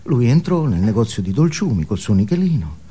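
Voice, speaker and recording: A male actor playing a guru who tells a parable in deliberate, suspenseful theatrical style. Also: medium pitch, sing-song, dark tone, slightly rough. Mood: mock-solemn. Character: manic guru as hushed, folksy male storyteller. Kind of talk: dramatic theatrical storytelling.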